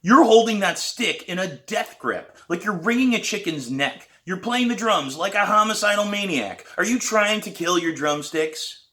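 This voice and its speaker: A man's voice: on the high side, very animated, normal speed, pushed and bright, voice pushed up. A man voicing a sarcastic, barking drum teacher. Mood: shouting. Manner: blunt, sarcastic, barking orders. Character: sarcastic, demanding adult male drum teacher.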